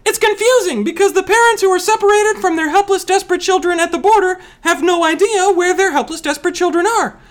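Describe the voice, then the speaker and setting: A woman playing an earnest, indignant female expert in a forced high voice for a scripted comedy explainer. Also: quick pace, hardly any pauses.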